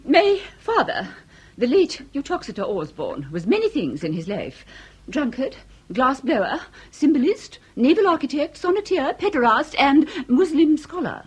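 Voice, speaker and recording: An actress playing an anxious, genteel Victorian wife with an English accent and a fluttering, over-polite, halting manner, in period comedy radio drama. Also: female voice, high voice, big pitch swings. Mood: grandly declaiming.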